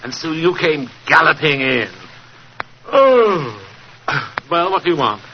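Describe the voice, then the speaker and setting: A muffled, hissy old radio recording of a pompous British gentleman. He is indolent and imperious with a dry wit, and his fairly high voice swoops theatrically through each phrase. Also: sing-song, slow, heavily acted. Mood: testy, weary. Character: lazy, imperious, drily witty elder gentleman detective. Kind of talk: 1940s radio drama acting.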